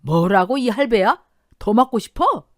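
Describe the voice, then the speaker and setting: An angry, rude man in an audio drama, acted by a woman, snarls his threats belligerently. The voice is high for the part and swings widely in pitch, with a close, dry sound. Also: sing-song, measured pace, no room sound. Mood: furious.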